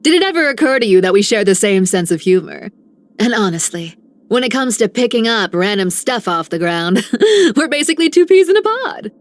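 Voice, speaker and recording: An actress playing a mischievous, cheeky young treasure-hunting rogue in a video game, teasing and informal. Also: female voice, fairly high pitch, big pitch swings, a little fast. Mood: cheeky, chummy. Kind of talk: scripted game character voice lines.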